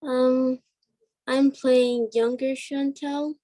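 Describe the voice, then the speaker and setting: Slow, hesitant female voice, soft-toned. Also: sits high, flat delivery. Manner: hesitant, reserved. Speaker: stage actress, interview guest. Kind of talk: brief interview answers.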